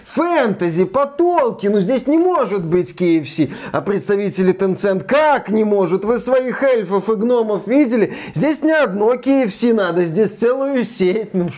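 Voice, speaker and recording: Male co-host, notably high voice. Casual ironic games commentary, joking, with mock corporate exchanges. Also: expressive, moderate pace, few pauses, darkish tone. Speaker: co-host of a gaming news show.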